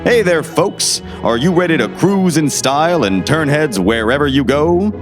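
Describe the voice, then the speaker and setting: A man's voice: a little high, expressive, moderate pace, over music, natural voice. A man playing an upbeat, slick car salesman in a 1960s-style radio commercial. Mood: peppy, salesy.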